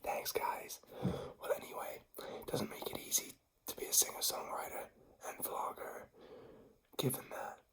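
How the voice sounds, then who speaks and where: A man's voice: measured pace, long pauses, poor audio. Vlogger, male, voice gone, whispers throughout with an American-sounding lilt, chatty and rambling, in a home. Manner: whispered, rambling with many fillers. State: lost voice, whispering. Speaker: vlogger and singer-songwriter. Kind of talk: casual vlog chat. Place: in a home, indoors.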